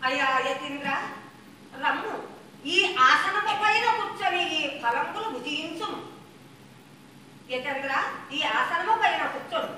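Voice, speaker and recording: Woman doing a devoted young queen, puppet play, very high voice, distant, echoey. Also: expressive, unhurried, pauses often, bright, forceful tone, heavily acted. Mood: courteous. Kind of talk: traditional puppet play performance. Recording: echoey, distant live performance with noise.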